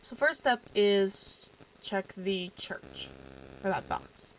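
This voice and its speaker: A woman's voice: medium pitch, huge pitch swings, takes it slowly. A woman with a stuffy, allergy-clogged voice chats her way through a game video. She rambles and fills the gaps with fillers, keeping her voice down at home at night. Her mic adds a crackle of static. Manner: chatty, rambling, trails off with fillers; talks quietly so as not to wake others. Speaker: gaming video host. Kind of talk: casual let's-play commentary.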